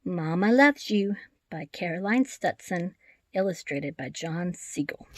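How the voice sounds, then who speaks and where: A woman reading picture books aloud for young children in a gentle, affectionate, deliberate manner. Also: on the low side, lively intonation. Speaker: storybook reader. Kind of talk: expressive children's read-aloud.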